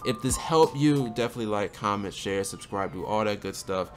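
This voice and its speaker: Low, slightly hoarse man with music behind. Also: fairly quick. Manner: relaxed, conversational, often asks "right?". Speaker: gaming channel host. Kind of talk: casual game tutorial commentary. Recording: music playing under the voice.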